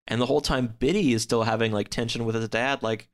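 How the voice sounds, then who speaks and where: A man in a casual podcast chat, recapping a story in a halting, rambling way full of filler words. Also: medium pitch, fairly even intonation. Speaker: podcast guest.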